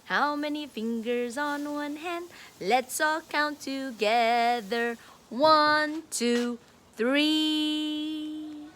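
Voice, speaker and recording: A woman's voice: high-pitched, drawn-out words, a bit bright, slight background noise. A woman teacher reading aloud and leading counting for young children in a sing-song, encouraging, teacherly way. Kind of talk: animated children's read-aloud and counting lesson.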